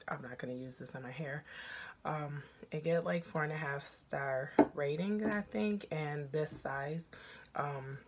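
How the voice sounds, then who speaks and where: A woman in her thirties with a raspy voice, chatting frankly through a product review, filmed on a phone at arm's length in a small room. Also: sits low, a little hiss or hum. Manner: chatty, frank, full of "um" pauses. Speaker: beauty vlogger.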